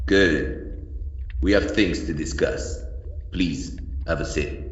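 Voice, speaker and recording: A slow, deep-voiced, menacing mine boss. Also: male voice. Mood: coolly courteous. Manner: measured, formal, commanding. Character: menacing, formally polite mine boss of the 1890s. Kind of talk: audio drama acting. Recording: dull lo-fi tape in echoing hall.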